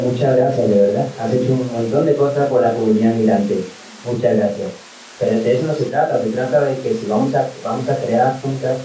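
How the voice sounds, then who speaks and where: A man's voice: mid-range voice, mellow. Impassioned man, far off in a roomy hall. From Colombia. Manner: impassioned, argumentative, full of fillers like "o sea" and "en plan". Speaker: panel speaker, migrant activist. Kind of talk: speaking at a public talk. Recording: roomy hall, voice picked up from afar, faint hiss.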